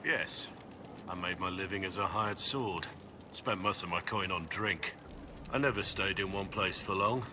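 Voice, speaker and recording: An actor playing a gruff, weary middle-aged soldier in a video game, grave, measured and earnest, full of remorse and resolve. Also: male voice, sits low, background noise. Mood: regretful. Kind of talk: dramatic video game acting.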